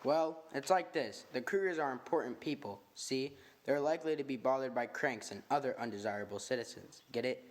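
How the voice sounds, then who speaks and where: A man's voice: mid-range voice, a little fast, hardly any pauses, natural voice. A man playing a greedy, tough-talking chauffeur and bodyguard in an old-time radio drama, speaking in slangy, drawling, ungrammatical street talk. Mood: wheeler-dealing.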